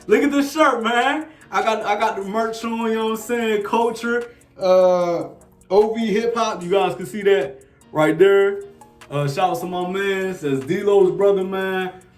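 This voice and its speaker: A man's voice: high-pitched, a little slow, far from the mic. A man in his twenties from New Jersey with a Jersey accent, casually answering viewer questions in a laid-back, slangy way full of fillers. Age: 20s. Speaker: sneaker YouTuber. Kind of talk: casual Q&A vlog. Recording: echoey room, off-mic, faint music.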